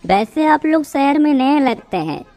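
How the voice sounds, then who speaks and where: A woman plays a confident young policewoman from a moral-story cartoon. Her voice sits a little high, and she speaks politely and with assurance, with music faintly present behind her. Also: big pitch swings, measured pace, mellow, heavily acted. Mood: polite, friendly. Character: confident, helpful young female police officer.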